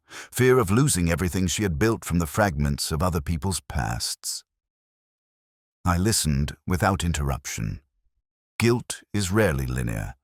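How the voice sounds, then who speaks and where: Casting: male actor for weary detective narrator, extremely low voice, solemn and measured yet with lively rises and falls, mystery audio drama. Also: no room sound, clean studio sound. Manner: measured, solemn, literary delivery. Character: world-weary, reflective male English police detective narrator. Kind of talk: scripted first-person dramatic narration.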